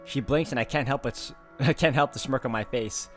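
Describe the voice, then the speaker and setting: Male game player, informal read-along narration with chatty asides, average pitch, light background music. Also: brisk. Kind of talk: reading game narration aloud with casual commentary.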